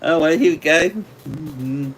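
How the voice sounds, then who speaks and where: An Australian man hosting a casual livestream, chatting with viewers in a rambling way, his voice slightly hoarse after hours of talking. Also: on the high side, slow pace, a bit bright, slight background noise, rough recording. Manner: rambling, chatty, laughs often. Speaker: livestream host. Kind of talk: casual live chat with viewers.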